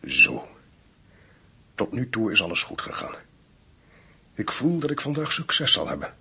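An actor in a radio play playing a calculating schemer who poses as a polite, hesitant priest. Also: male voice, lowish voice, big pitch swings, unhurried, pushed and bright, breathy edge, heavily acted. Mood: quietly confident.

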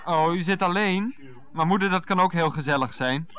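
A flustered grown son in a radio comedy, with a bright, forceful voice. He stammers, wheedles and turns indignant as he placates his mother over the phone. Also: high voice, moderate pace. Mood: exasperated, sheepish. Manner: stammering, indignant, put-upon. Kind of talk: 1990s radio comedy acting.

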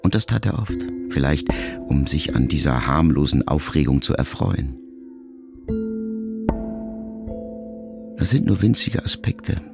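Reflective grown son, deep voice, heavy creak, measured intimate delivery, literary radio-play monologue, music underneath. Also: male voice, expressive, full character voice. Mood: tender remembering. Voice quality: gravelly. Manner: measured, introspective, intimate storytelling. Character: reflective grown son addressing his dying elderly mother.

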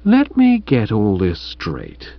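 A pompous young gentleman in high-pitched indignation, as a male narrator puts him on, his voice leaping up and down as he lectures and snorts. The sound is dry and close, yet dull and muffled. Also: very animated, takes it slowly, dry recording. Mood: righteously indignant. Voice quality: huffy, declamatory, snorting. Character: indignant upper-class young Englishman, pompous.

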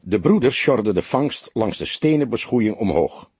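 A man the reading a crime story as an audiobook, in a measured, expressive storytelling manner. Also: lowish voice, breathy edge, dry recording. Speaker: audiobook narrator. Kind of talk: scripted literary narration of a crime story.

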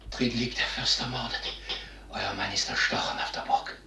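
A man acts as a horror-stricken messenger in a classical radio play. He laments haltingly and breathily from a distance. The sound is echoey and noisy. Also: mid-range voice, steady tone, lightly acted. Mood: horrified. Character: horror-stricken male bearer of dreadful news. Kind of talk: classical radio drama acting.

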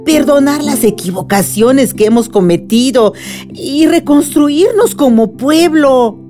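A woman playing a wise older grandmother in a radionovela, earnest and deliberate as she consoles and persuades. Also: sits high, animated, over music. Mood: earnest pleading. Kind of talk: radionovela acting.

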